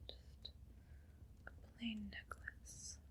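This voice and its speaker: A woman playing a haughty, condescendingly kind evil queen in an ASMR roleplay, speaking in a breathy whisper with slow, deliberate, imperious delivery. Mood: musing, haughty.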